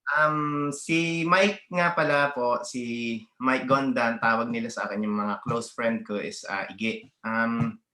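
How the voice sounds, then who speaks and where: A man's voice: mid-range voice, steady tone, measured pace, pushed and bright, echoey room. A man from the Philippines, a guest athlete talking casually on a video call, hesitant, with many fillers and restarts. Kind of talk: casual interview, mixing Tagalog and English.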